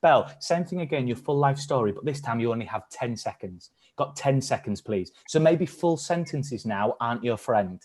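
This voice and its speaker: A man's voice: mid-range voice, animated, normal speed, hardly any pauses, darkish tone. A man leading an online acting workshop, encouraging and upbeat as he coaches the participants. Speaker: workshop leader. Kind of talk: live-streamed acting workshop.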